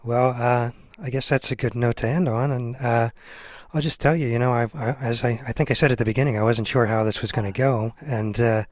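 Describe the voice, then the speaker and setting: American guy hosting a chat show, mid voice, kinda halting and self-deprecating, no echo at all. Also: mid-range voice, steady tone, a little mellow. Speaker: show host, interviewer. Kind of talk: casual interview chat.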